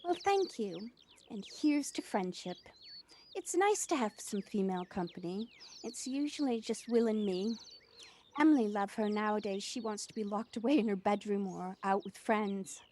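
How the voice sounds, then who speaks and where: A woman's voice: medium pitch, normal speed, darkish tone, lightly acted. A woman acting a friendly, sociable mother in an amateur audio drama, in a conversational manner. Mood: friendly, curious. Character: friendly widowed mother, American-born, long settled in England. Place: at home, recorded remotely. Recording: faint noise, home personal-device recording.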